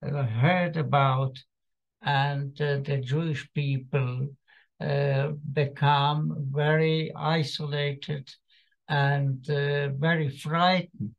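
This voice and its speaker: A man in his eighties with a Slovak accent gives a personal testimony talk in a halting, hesitant way over a video call from home. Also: fairly high pitch, steady tone, gravelly. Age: 80s. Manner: halting, hesitant delivery with long pauses. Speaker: guest speaker, survivor giving testimony. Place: small home room indoors. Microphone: computer mic on a video call. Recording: muffled, lo-fi video-call audio.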